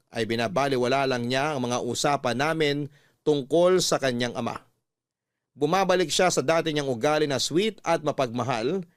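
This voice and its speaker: A man's voice: medium pitch, no room sound. A man with a Filipino accent narrating a scripted first-person story as the host of a radio drama. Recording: dry studio voice over music. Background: soft music bed.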